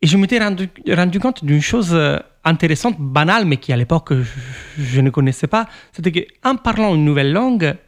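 A man from Italy, Italian his first language, answering interview questions in a thoughtful way with many pauses and restarts. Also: a little high, expressive. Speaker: author.

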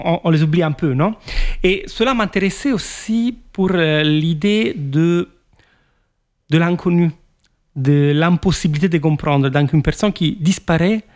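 A man from Italy, whose first language is Italian, speaks in a voice a little higher than most men. He answers slowly and thoughtfully, pausing, repeating words and restarting his sentences. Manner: thoughtful, many hesitations and restarts. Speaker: author. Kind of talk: reflective interview answers.

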